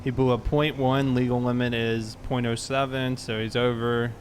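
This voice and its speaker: Male police roleplayer, mid pitch, procedural and polite, faint background noise. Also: little pitch movement, normal speed. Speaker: streamer role-playing a sheriff's deputy. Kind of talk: police roleplay game talk and radio calls.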